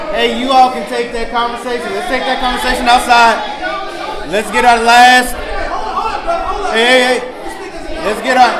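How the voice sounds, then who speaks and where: A man moderating a forum, firmly and repeatedly calling the room to order. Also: extremely high pitch. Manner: firm, repetitive calls for order. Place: large public hall. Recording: echoey hall with noisy crowd.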